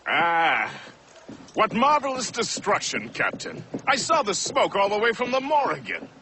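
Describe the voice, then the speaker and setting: A jovial ship's mate with a high, bright voice that swoops wildly up and down. He draws out his words slowly and with theatrical relish, in a video game cutscene with faint noise behind. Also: wildly swooping pitch, full character voice.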